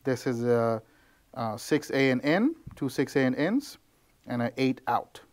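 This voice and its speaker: A man in his thirties talks through a how-to in a relaxed, rambling way, pausing on ums and restarting his sentences. His voice sits at a middling pitch and is picked up close by a handheld camera in a small, plain office. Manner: loose and conversational, with frequent ums and restarts. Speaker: tuning shop mechanic. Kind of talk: casual how-to walkthrough. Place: small office with bare walls, indoors. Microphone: handheld camera close to face.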